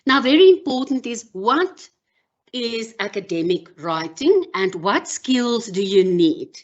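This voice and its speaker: A woman whose first language is Afrikaans gives a measured online workshop as a university lecturer, with frequent pauses and ums. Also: fairly high pitch, big pitch swings, muffled recording. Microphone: video call.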